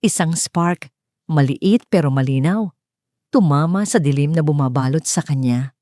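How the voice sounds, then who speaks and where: A female narrator with a deep, soft-toned voice reads a dramatic story. Her delivery is measured and solemn, yet the pitch moves widely. The sound is dry and close. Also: no echo.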